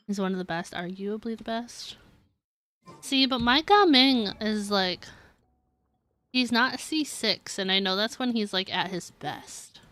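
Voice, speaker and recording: A woman's voice: mid-range voice, a little slow, fairly bright tone. A woman chatting casually on a game live stream, rambling and giggly as she talks to viewers.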